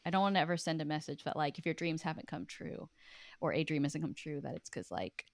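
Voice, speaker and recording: Woman w a low voice, dry close sound, chatting earnest and reflective like an interview guest. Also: fast, gravelly, no room sound. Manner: earnest, informal, with frequent "like". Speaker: guest, writer and author. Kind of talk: casual, reflective interview talk.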